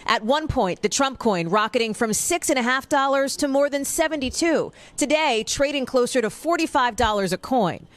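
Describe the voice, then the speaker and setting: A woman narrates a television news report in scripted reporter style. Her voice is a little higher than average. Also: expressive, normal speed.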